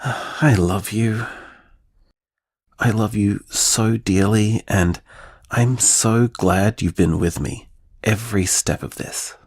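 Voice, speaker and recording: A man's voice: on the low side, fairly even intonation, slow pace, darkish tone, breathy edge, no room sound, clean studio sound, exaggerated voice. An actor playing a tender, anxious man confiding in his beloved in an audio drama, earnest and reflective. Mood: tender, loving. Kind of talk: intimate audio drama monologue.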